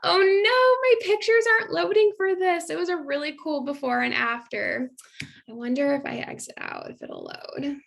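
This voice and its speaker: A woman's voice: sits high, sing-song, moderate pace, vocal fry, fairly bright tone. A young adult woman, a nutrition coach, giving a casual online masterclass in a chatty manner full of fillers and little laughs. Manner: chatty, filler-heavy, laughs between points.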